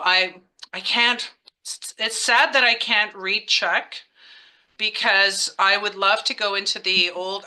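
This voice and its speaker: A woman's voice: mid-range voice, sharp tone, clean tone, poor audio. A woman from the Czech Republic, a nurse and psychedelic therapist, asking a question in a rambling, enthusiastic way. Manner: enthusiastic and rambling, many "you know" fillers. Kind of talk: Q&A comment.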